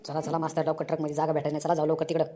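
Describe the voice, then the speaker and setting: Casting: woman as grumbling cartoon village uncle, lowish pitch, extremely quick speech, impatient. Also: monotone, dark tone. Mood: hurried. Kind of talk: comedy cartoon dialogue.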